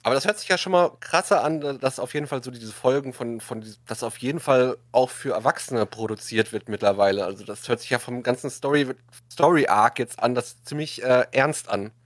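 A man's voice: medium pitch, brisk, dry recording. A man hosting a casual chat as the interviewer. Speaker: interviewer, host.

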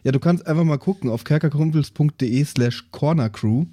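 A man's voice: fairly high pitch, fairly quick, dark tone, dry recording. Mock-serious man in a dry, close recording. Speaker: podcast player. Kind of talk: casual improvised banter.

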